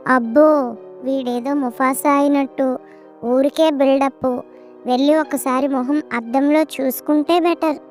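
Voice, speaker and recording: An actor voicing a tiny, cheeky, excitable mouse in an animated children's story, dramatic and full of exclamations. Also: high voice, unhurried. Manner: dramatic, exclaiming, mock-polite. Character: tiny cheeky clever little mouse, panicky and pleading. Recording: music behind the voice.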